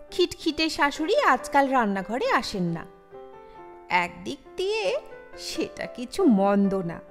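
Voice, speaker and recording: A woman's voice: high-pitched, a bit bright. A woman reading a short story aloud as a scripted audio narration. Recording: long reverb over the voice. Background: background music.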